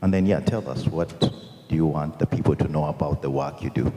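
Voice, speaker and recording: A man hosting a live event, introducing a guest in a halting way with many fillers. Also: a bass voice, mellow. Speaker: event host. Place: convention hall. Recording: echoey hall reverb.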